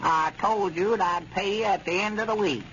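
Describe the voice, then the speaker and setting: A man playing a crotchety but soft-hearted, muddled old storekeeper with a rural Arkansas accent, in 1930s radio comedy style. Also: high voice, moderate pace. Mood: bossy, grumbling. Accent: rural Southern US, Arkansas hill country. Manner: folksy, flustered, muddled stammering. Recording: muffled, noisy 1930s radio recording.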